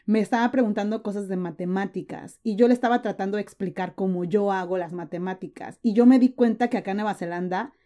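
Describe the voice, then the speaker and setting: A Mexican woman in her thirties talks freely as a podcast guest, her voice mellow and warm. She keeps the talk going with plenty of fillers and quick restarts, at a medium pitch. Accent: Mexican Spanish. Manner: chatty, frequent fillers and restarts. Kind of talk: casual interview chat.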